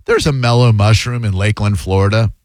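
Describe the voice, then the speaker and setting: A man's voice: fairly low pitch, darkish tone, dry recording, studio quality. A male radio host taking calls and ranting bluntly, often mocking his callers. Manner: blunt, mocking, quick to rant. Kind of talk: call-in radio banter.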